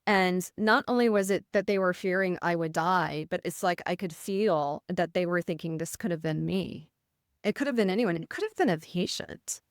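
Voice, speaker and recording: A middle-aged woman nurse with a Midwestern American accent, speaking with a voice that can sound slightly rough, telling a personal story in an interview. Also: on the low side, moderate pace, no room sound, studio quality. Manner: earnest, vivid retelling with quoted inner thoughts. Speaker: nurse, podcast guest.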